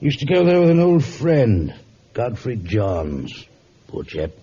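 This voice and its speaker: A man playing a measured, reflective, gentlemanly judge in a vintage radio drama. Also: mid-range voice, very animated. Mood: reminiscing. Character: genial, measured male judge with somber reticence. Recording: muffled, hissy old radio recording.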